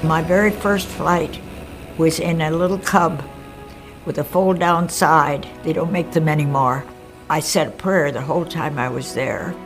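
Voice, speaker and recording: A woman's voice: low-pitched, creaky. Older woman fondly recalling flying. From Hawaii. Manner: vivid, fond storytelling. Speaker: interviewee, former wartime pilot. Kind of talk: documentary interview, reminiscing.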